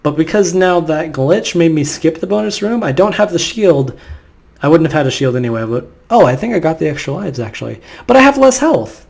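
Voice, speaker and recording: High-voiced man rambling over a game. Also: very animated, a little fast, vocal fry, darkish tone. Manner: rambling, nitpicking. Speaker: gameplay commentator. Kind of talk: casual let's play commentary. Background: game music.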